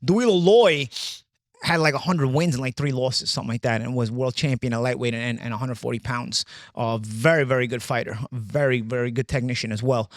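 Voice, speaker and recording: Fast-talking, emphatic New man. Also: medium pitch, no echo, clean studio sound. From New York, USA. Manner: emphatic, repetitive, peppers talk with "you know". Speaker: boxing show panelist. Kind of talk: animated sports discussion.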